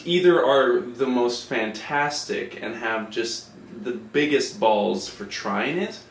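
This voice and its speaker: A man's voice: medium pitch, reverberant. A man casually recalling his small-town schooling in a wry, blunt way. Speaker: interviewee. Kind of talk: casual recollection.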